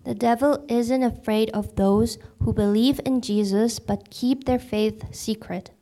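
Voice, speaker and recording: A woman interpreting a sermon into English in fluent, even sentences after each passage. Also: fairly high pitch, measured pace.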